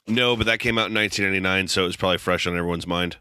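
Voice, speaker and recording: Deep voiced guy cohosting a movie podcast, smooth crisp voice, dry wry delivery. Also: fairly even intonation, sharp tone, dry recording. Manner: dry, measured, wry humor. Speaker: podcast co-host. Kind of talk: casual film review chat.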